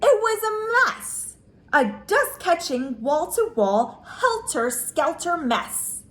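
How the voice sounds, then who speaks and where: Animated, high-pitched woman storyteller. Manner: expressive, drawn-out emphasis on key words. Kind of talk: children's picture book read-aloud.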